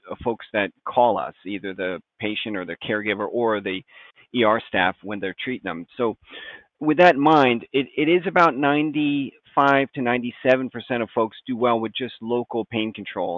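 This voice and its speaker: A man's voice: lowish voice, no room sound. A middle-aged man, a doctor appearing as a guest expert, explaining things informally over a tinny connection. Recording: tinny phone-like band-limited line.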